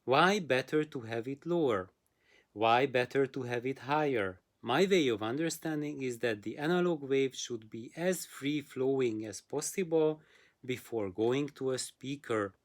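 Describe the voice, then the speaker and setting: A host explains a technical matter in a casual way at a medium pitch. The delivery is halting, with frequent pauses, and leans on simple, practical comparisons. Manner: halting, pausing, explains with simple hands-on analogies. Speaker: host answering audio questions.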